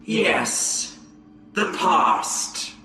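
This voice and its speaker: Piercing, echo-drenched alien warrior lord. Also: female voice, medium pitch, lively intonation, sharp tone, soft background music, exaggerated voice. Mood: sly, knowing. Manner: commanding, taunting, deliberate. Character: stern, haughty ancient female alien warrior lord. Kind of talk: theatrical game cinematic acting. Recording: heavy otherworldly echo.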